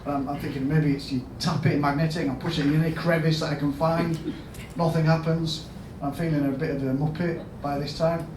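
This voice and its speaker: A man with a Yorkshire accent giving a storytelling conference talk as a health service chief executive, asking the audience questions and rising to loud emphatic bursts. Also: a little high, flat delivery, brisk, hardly any pauses. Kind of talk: storytelling keynote speech. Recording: echoey hall, mic at a distance, background noise.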